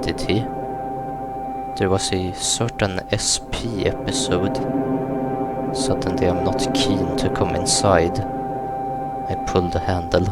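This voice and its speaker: A man hosting a gaming video, reading a visual novel script aloud in a measured storytelling way. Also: low-pitched. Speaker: gaming channel host. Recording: music behind the voice. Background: game soundtrack music.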